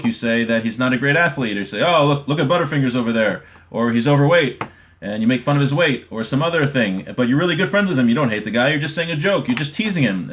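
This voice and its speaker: A man's voice: mid-range voice, a bit bright. An American man with a mid-range voice leads an informal online class. He explains in a conversational, step-by-step way, as a teacher working through a text. His delivery is unscripted and leans on everyday examples. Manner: conversational, explanatory, checks in with "right?". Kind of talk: informal text study, reading aloud then explaining with examples.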